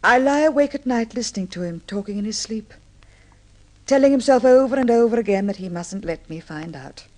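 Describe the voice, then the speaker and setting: An actress playing a gentle, devoted middle-aged blind wife in an old-time radio drama, tender, gracious and wistful. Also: female voice, fairly high pitch, lively intonation, fairly quick, natural voice, rough recording.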